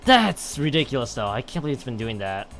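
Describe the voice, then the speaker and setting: A man's voice: mid-range voice, big pitch swings, fairly quick, a bit bright, faint music behind. A man commentating on a game stream and reading game text aloud in a rambling way, often stumbling and correcting himself. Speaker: live streamer.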